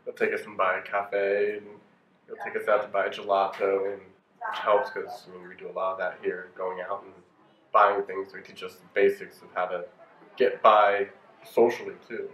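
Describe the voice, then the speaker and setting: A man's voice: low-pitched, little pitch movement, moderate pace, a bit bright. A man in his twenties, a student chatting casually in an interview. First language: English. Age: 20s. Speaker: study-abroad student. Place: living room, indoors. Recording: roomy, dull, noisy background.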